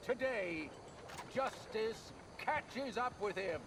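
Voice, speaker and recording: An actor playing a stern official who pronounces death sentences in solemn, declamatory public oratory for a video game. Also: male voice, sits high, expressive, slow, a bit bright, slight background noise, exaggerated voice. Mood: solemn, grave. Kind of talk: dramatic video game acting. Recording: music behind.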